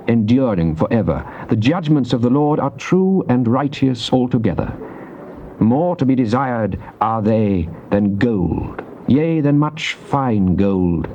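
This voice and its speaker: A man's voice: mid-range voice, dark tone. Muffled, solemn psalmist king. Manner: solemn, declamatory, deliberate phrasing. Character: devout, triumphant ancient psalmist king, solemn and exalted. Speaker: actor reading Psalms in a dramatized Bible recording. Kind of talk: dramatized King James scripture recitation. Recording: muffled old cassette tape with faint noise.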